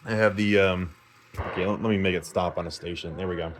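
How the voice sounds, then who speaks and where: Guy with kinda low voice rambling casually thru a repair demo, confident, some noise behind him. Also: fairly even intonation, brisk. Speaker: vlogger showing a repaired vintage car radio. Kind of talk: casual demonstration to camera. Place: repair shop, indoors.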